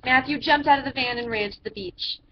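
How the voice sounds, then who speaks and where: Female storyteller, high-pitched, theatrical read-aloud for kids; muffled, noisy home-grade recording. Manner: theatrical, drawn-out phrases with emphatic character voices. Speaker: storyteller reading to children. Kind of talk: animated picture-book read-aloud. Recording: dull lo-fi sound with background noise.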